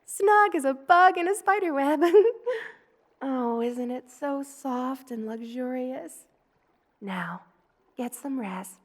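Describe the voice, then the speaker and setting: A woman voicing a gentle, motherly spider queen in an ASMR roleplay, tender, cooing and soothing as she dotes on the listener. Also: high-pitched, animated, slow pace. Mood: playful, doting. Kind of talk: ASMR roleplay, personal attention.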